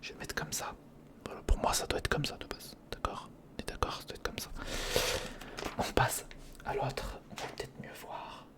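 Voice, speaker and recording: An ASMR host whispering breathily and close to the mic, thinking aloud to the listener through a step-by-step build. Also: moderate pace. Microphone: close recorder or studio condenser microphone.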